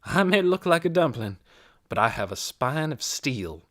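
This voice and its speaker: A narrator voicing a brisk, self-righteous older woman for an audiobook, preachy, folksy and emphatic. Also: mid-range voice, sing-song, no echo, clean studio sound, pitched above the performer's own voice, lightly acted. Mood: stern, determined. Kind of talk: audiobook character voice.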